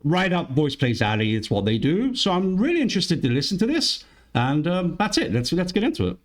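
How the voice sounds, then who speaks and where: This is a man with Italian as his first language, hosting a music reaction channel with relaxed, chatty commentary. His pitch swings widely as he muses through his thoughts. He often breaks off and restarts sentences. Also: fairly quick, background noise. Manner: chatty and musing, with frequent restarts.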